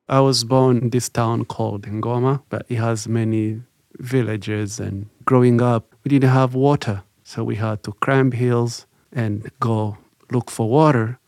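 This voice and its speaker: Gravelly man recalling childhood. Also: medium pitch, fairly even intonation, a little mellow, no room sound, clean studio sound. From Rwanda. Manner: halting, reflective, many "you know" fillers. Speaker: interview guest. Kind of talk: recalled life story, casual.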